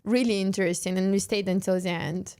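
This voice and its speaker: A woman's voice: medium pitch, steady tone, fairly quick, a little mellow, clear, resonant tone. A woman speaking as a podcast host in a casual, conversational interview style.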